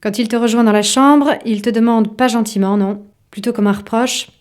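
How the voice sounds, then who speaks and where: A woman narrating a literary audio drama in an intimate, measured way, with a soft voice. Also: a little high, steady tone, lightly acted. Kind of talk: literary second-person narration in audio fiction.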